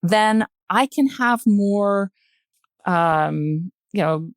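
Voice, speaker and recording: An older woman chatting as a podcast guest, telling a story in a halting, thoughtful way. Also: medium pitch, dry recording, studio quality. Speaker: podcast guest, retired journalist and producer. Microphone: close podcast microphone.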